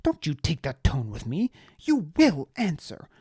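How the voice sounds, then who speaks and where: A narrator voicing a pompous, petulant man who is easily outraged and talks in shrill, indignant bursts. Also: huge pitch swings, measured pace, mellow, breathy edge, no echo, studio quality, heavily acted.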